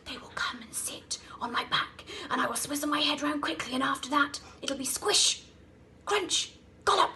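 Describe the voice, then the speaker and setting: A woman voicing a greedy, sneaky male crocodile in a children's story, with drawn-out villain talk. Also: high voice, lively intonation, sharp tone, in a whisper, voice pushed up. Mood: sneaky, gloating.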